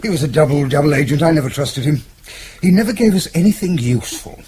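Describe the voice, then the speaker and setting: An actor playing a suave, calculating British intelligence chief, speaking in an urbane, formal way in a radio drama. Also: male voice, fairly high pitch, animated, darkish tone, slight background noise, close to an everyday voice. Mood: dry, matter-of-fact. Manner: urbane, measured, formal explaining.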